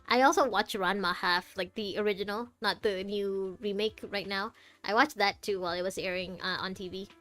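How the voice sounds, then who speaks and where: A woman giving live playthrough commentary, chatting casually to viewers and reacting aloud in a jokey, expressive way. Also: medium pitch, a little fast. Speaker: game streamer. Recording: music behind the voice. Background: game music.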